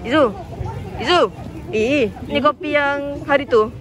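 A Malaysian man vlogging in casual, playful chat at a crowded outdoor market, filmed on a handheld camera. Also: extremely high pitch. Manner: chatty, playful, quick asides. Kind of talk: casual chat while filming. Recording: busy crowd behind.

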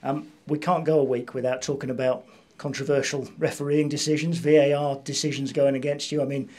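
A man's voice: medium pitch, flat delivery, a little fast, a little mellow. A man. He works as a sports interviewer, putting questions in a chatty, conversational way and often ending on a tag question. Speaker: club interviewer.

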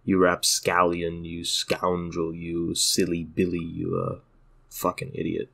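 A man plays an unflappable young cool guy in a cartoon dub. His teasing drawl is monotone, low and full of gravelly fry. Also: slow, exaggerated voice. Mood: deadpan teasing. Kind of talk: animated comic dub voice acting.

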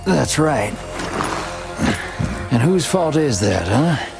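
A man acting a cool, cynical, self-serving frontier rogue with a gravelly voice in a video game. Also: medium pitch, very animated, slow, heavily acted. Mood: cold, dismissive. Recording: loud music behind.

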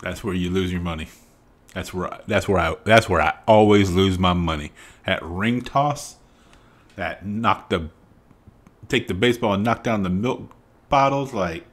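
A middle-aged Southern man with a somewhat low voice talks into a studio mic in a small home room. His chat is casual and emphatic, full of asides and ready laughter. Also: normal speed. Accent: Southern American. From Southern United States. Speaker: reaction video host. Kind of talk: casual commentary. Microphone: studio mic on arm, wearing headphones.

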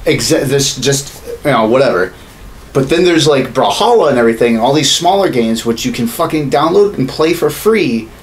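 A man's voice: medium pitch, expressive, a little fast. A man in his thirties ranting casually and profanely as a podcast co-host in a small room. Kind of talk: casual opinionated chat about games and movies. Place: small home studio room, indoors. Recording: clearly noisy room recording.

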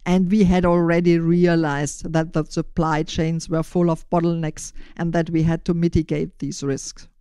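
Older german lady on a podcast, german accent, crisp clear voice, scripted sounding answers, dry sound. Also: deep voice, flat delivery, normal speed, few pauses, mellow, dry recording. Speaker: podcast host and initiator.

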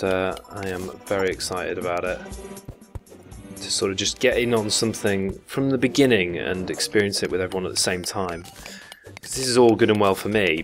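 A man from England giving rambling, casual commentary while playing a video game, swearing now and then. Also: deep voice. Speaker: gaming YouTuber. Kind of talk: casual let's play commentary.

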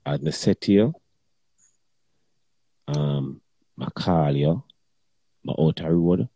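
A middle-aged man with a very deep, dark, husky voice talks bluntly and crudely in patois. The sound is dry and close. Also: very animated, slow, no echo. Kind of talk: casual chat.

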